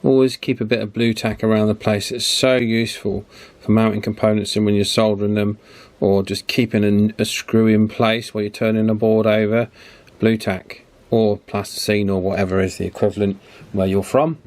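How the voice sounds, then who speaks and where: A man giving a casual, hands-on repair demonstration, chatty and unhurried, thinking aloud as he works. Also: fairly low pitch, level tone, darkish tone. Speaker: radio repair video presenter. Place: indoor electronics repair bench.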